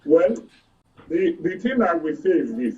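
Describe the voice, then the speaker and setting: A man's voice: a little high, sing-song, unhurried. A man with a Nigerian accent giving his opinions as a guest in a political discussion, explaining emphatically and repeating points for effect. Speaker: guest commentator. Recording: dull, distant studio audio.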